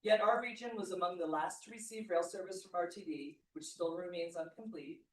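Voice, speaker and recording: A woman's voice: on the low side, fairly quick, far from the mic, slight background noise. A woman reading a formal public comment at a meeting. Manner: formal, reading a prepared statement. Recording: echoey room, away from the mic.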